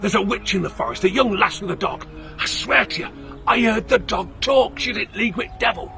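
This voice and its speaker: A man voicing a frantic, fearful old male peddler who shouts in Northern dialect. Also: sits high, animated, fairly quick, gravelly, faint music behind, exaggerated voice.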